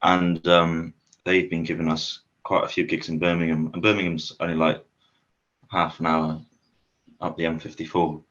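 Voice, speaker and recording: A young man chats easily about his band, laughing now and then. His voice is very deep, and the recording sounds dull and muffled. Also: little pitch movement, moderate pace, a bit bright. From Shropshire, England. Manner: easygoing, laughs often, lists things. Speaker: band member, guest. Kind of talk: casual interview chat.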